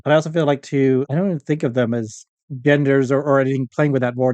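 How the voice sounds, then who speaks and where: A man's voice: medium pitch, darkish tone, no room sound. A middle-aged man chatting casually as a podcast guest, telling stories in a rambling way with quoted asides. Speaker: podcast guest, audio fiction writer and creator. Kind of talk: casual interview chat.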